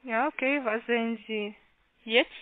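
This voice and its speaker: A woman call-centre caller with a foreign accent in non-native speech, giving halting, insistent instructions and repeating her questions over a muffled phone line. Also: a little high, fairly even intonation. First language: not German. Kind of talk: scripted phone sales instructions.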